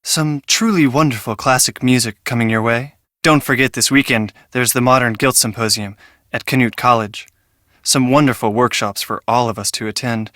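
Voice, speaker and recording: An actor playing a mild, earnest, genteel public radio host, announcing in an understated way for a video game. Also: male voice, medium pitch, steady tone, moderate pace, fairly bright tone, dry recording, studio quality, natural voice.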